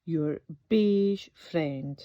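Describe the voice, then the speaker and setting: A woman's voice: low-pitched, very slow, dark tone, voice pushed down. A woman voicing a proud, aggrieved beige crayon from a storybook, complaining emphatically. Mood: indignant, proud. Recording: dull, echoey room recording.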